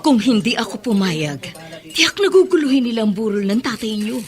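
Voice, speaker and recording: A woman's voice: fairly high pitch, expressive, a little fast, close to an everyday voice. An actress playing a grieving, long-suffering widowed mother in a Filipino radio drama, emotional and melodramatic. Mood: weary, resigned.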